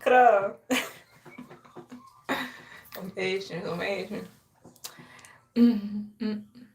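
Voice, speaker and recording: A woman's voice: medium pitch, extremely slow, gravelly, darkish tone. A young woman from Haiti chatting casually on a live stream, sassy, boastful and playful, often laughing. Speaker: livestreamer.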